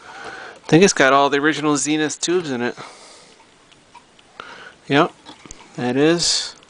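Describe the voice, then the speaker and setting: A man talking casually and haltingly, thinking aloud as he shows a hobby repair project in a home recording. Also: a little high, fairly bright tone. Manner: halting, thinking aloud while handling the object. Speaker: hobbyist vlogger showing a radio repair project. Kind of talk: casual unscripted show-and-tell narration. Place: indoors at home.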